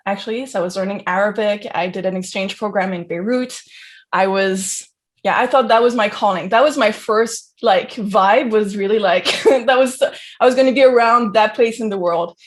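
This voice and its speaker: A young woman from Geneva, Switzerland, giving an informal autobiographical talk in an effusive, conversational manner peppered with filler phrases. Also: mid-range voice. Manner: conversational, effusive, frequent "like" and "you know". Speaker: guest speaker, crypto entrepreneur.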